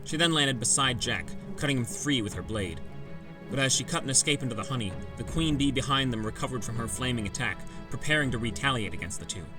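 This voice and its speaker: A man's voice: mid-range voice, animated, fast. A man giving dramatic scripted first-person narration for a fantasy audiobook. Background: background music.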